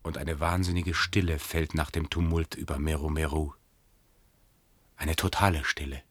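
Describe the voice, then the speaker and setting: An actor playing a solemn, portentous storyteller narrating a radio science-fiction drama. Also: male voice, extremely low pitch, fairly even intonation, moderate pace, husky. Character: solemn male storyteller of an eerie catastrophe.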